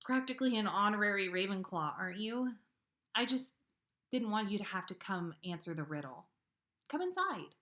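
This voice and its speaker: A woman's voice: medium pitch, very animated, normal speed, sharp tone. A woman voicing a meek teenage schoolgirl from a fan story, hesitant and embarrassed. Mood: flustered, friendly. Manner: hesitant, stammering.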